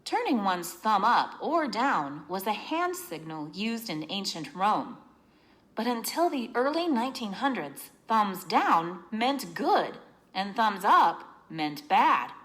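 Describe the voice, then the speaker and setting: Woman, storybook narration, slow pace, animated pitch, deliberate, teacherly explanations. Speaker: reader reading a children's book aloud.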